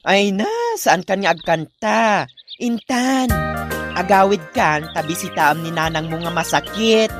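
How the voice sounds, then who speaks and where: A man's voice: high voice, measured pace, over loud music. A man playing a scolding companion in an Ilocano radio comedy drama. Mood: exasperated.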